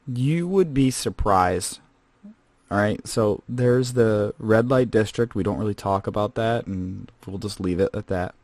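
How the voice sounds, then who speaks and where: A man's voice: on the low side, measured pace, a little mellow, no room sound. A man playing a rambling, casual male scavenger who hosts a comedic in-character podcast. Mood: chatty. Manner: rambling and casual, lots of fillers. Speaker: host.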